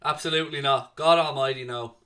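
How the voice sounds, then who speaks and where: A man's voice: medium pitch, fairly even intonation, brisk, bright, forceful tone. A young man with a South Dublin Irish accent hosting a football watchalong stream, chatting casually and answering viewers' comments. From Ireland. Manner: chatty, jokey, answers chat with "mate" and "man".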